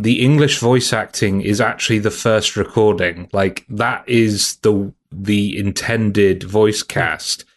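A man co-hosting a game podcast, hesitant and often correcting himself mid-sentence. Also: medium pitch. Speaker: podcast co-host. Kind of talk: casual game discussion.

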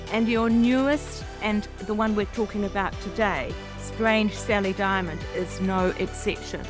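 A woman hosting a book podcast, presenting and interviewing authors. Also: medium pitch. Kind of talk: podcast interviewing and scripted introductions.

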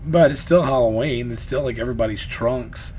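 A man's voice: medium pitch, fairly quick, a little hiss or hum. A man losing his voice who co-hosts a podcast with his daughter, chatting casually and ranting. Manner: animated ranting, frequent restarts. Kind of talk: casual chat.

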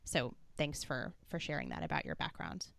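Low woman host, supportive, dry close mic. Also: wildly swooping pitch, normal speed, creaky, a bit bright, no room sound. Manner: supportive, brief affirming replies. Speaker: podcast host. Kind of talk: podcast interview.

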